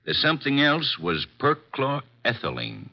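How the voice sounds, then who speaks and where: An actor playing a gruff, businesslike American police inspector in a 1950s radio drama. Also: male voice, medium pitch, wildly swooping pitch, unhurried, exaggerated voice. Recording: muffled old 1950s radio recording.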